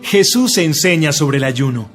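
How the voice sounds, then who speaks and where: Higher-voiced male title announcer. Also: animated, unhurried. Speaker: announcer of chapter and section titles. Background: music.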